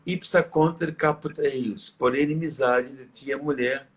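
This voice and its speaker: Brief: weary priest, husky and hoarse, mid-pitched, reverent measured delivery. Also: male voice, steady tone. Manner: reverent, measured preaching with slow prayers. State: hoarse, tired. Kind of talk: live religious talk and prayer.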